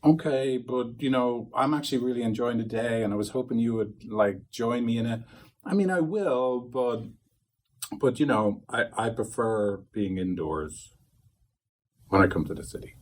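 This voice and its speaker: A man's voice: mid-range voice, normal speed, darkish tone. A man training couples therapists, talking casually to a small group, rambling and acting out quoted dialogue.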